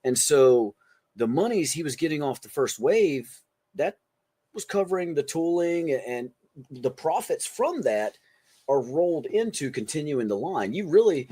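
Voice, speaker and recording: A man's voice: on the high side, big pitch swings, unhurried, mellow, breathy edge. A man co-hosting a podcast in casual banter, speaking in a slangy, profane way. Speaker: podcast co-host.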